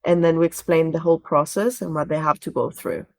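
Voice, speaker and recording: A woman's voice: deep voice, fairly even intonation, brisk, creaky. Woman in conversational interview. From Venezuela. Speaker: podcast guest, relocation director. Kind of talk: conversational interview answers, storytelling and explaining.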